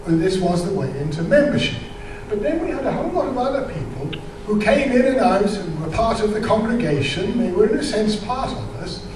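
Older male church speaker, high voice, halting explanatory delivery, distant mic in a reverberant hall. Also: noisy background. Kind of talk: informal church talk with slides. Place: large church hall with audience, indoors. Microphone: microphone on a stand.

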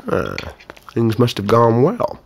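A man's voice: medium pitch, wildly swooping pitch, takes it slowly, gravelly, husky, full character voice. A man playing a breezy, needling small-town store owner in a naturalistic audio drama, folksy and amused. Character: breezy, teasing small-town male store owner, older than his employee.

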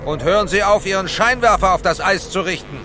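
An actor playing a brusque SWAT police chief in a children's audio drama, barking clipped military commands. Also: male voice, high-pitched, a little fast, noisy background, lightly acted. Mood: stern. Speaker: SWAT team leader, the Corporal.

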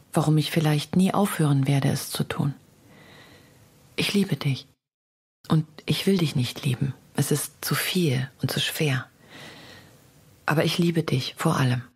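Low, breathy, lovesick young poet. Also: female voice, full character voice. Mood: aching, intimate. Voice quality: breathy, hushed. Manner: intimate, measured literary reading. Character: young woman poet reading her letters, torn, lovesick. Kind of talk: dramatic letter reading for radio feature.

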